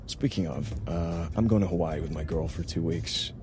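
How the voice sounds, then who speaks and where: Actor as breezy male sidekick, deep voice, blunt, offhand, dismissive, audio drama. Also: normal speed, music in the background, natural voice. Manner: casual, offhand. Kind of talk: naturalistic audio drama dialogue.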